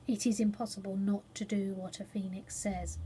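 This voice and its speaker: A woman reading a children's story aloud as an expressive storyteller. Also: medium pitch, moderate pace, slight background noise, low-quality recording.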